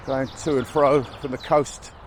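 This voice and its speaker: A man's voice: mid-range voice, flat delivery, a little slow. A chatty man narrates his walk outdoors in a mid-range voice, wry and a little out of breath. He sounds slightly chesty from a lingering cold, picked up on a mic clipped to his chest. Faint motorway noise hums behind him. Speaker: walking vlogger. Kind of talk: casual walk-and-talk narration. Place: outdoors by a busy motorway in winter fields. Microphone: chest-worn mic.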